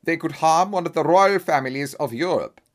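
Man putting on a booming, pompous German-accented nobleman. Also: animated, normal speed, natural voice. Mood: secretive, urgent. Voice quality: deep, booming. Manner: pompous, formal, grave. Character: huge, pompous masked Bohemian nobleman, secretive.

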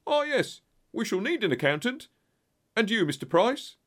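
A man's voice: high voice, big pitch swings, normal speed, pitched above the performer's own voice. A man voicing a panicky, desperate company director in an audiobook story, speaking in a nervous, over-eager, pleading manner. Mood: nervously eager.

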